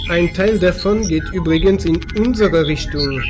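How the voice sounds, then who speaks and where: A man's voice: sits high, normal speed, over music, lightly acted. A man playing a wry, matter-of-fact spaceship pilot in a science fiction audio drama, with a dry reporting manner. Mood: dry, uneasy.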